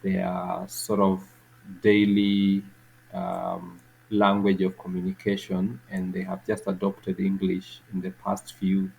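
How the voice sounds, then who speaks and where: Kenyan man, very low voice, monotone delivery, halting speech with repeats, faint background ambience. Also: gravelly, slight background noise, rough recording. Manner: halting, many repeated words and fillers. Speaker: podcast guest. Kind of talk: reflective interview answers.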